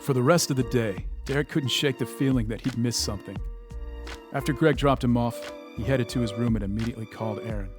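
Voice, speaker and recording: A man's voice: mid-range voice, brisk, music in the background, natural voice. A man performing as the narrator of a mystery audio drama, reading scripted narration. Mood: measured storytelling.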